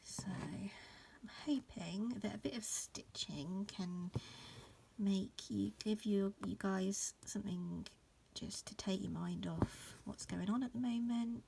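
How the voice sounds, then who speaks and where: A woman in her thirties, sounding croaky from hay fever, talks through an embroidery tutorial in a relaxed, rambling way, with plenty of ums. Her voice has a gravelly edge, and a faint hiss sits behind her. She is recording in an upstairs room at home. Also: medium pitch, takes it slowly. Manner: relaxed, many fillers and restarts. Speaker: embroidery teacher. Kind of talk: casual stitch-along tutorial.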